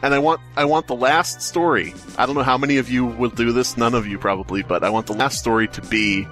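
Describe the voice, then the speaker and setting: A man's voice: medium pitch, steady tone, brisk, fairly bright tone, faint music behind. A man hosting a comedy podcast, chatting casually and riffing on absurd jokes. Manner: joking, riffing on absurd bits. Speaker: podcast host.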